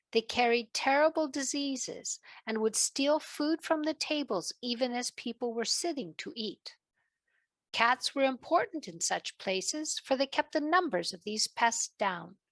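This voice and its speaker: A woman narrating a children's story in a gentle, deliberate way, with a British accent that comes and goes. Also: fairly high pitch, lively intonation, no echo. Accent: British, fading in and out.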